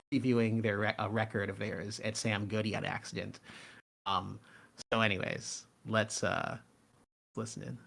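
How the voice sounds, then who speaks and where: A man hosting casual live stream commentary, talking in a halting, rambling way and often cutting himself off. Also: lowish voice, gravelly, sharp tone. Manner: halting, rambling, self-interrupting. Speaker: host and show's writer.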